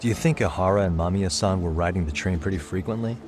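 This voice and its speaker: An actor playing a calm, sharp male detective in a video game cutscene, talking through a case in a level-headed, deductive way. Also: sits low, quick pace, close to an everyday voice. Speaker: private detective. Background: background music.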